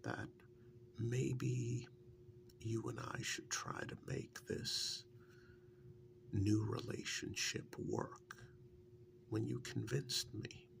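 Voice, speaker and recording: A man playing a fondly reminiscing, teasing male partner and former captive in an ASMR role-play, in a breathy half-whisper with gravelly fry and a halting, conversational delivery. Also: medium pitch, steady tone, noisy background, heavily acted. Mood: fond, candid. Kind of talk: soft-spoken ASMR role-play monologue.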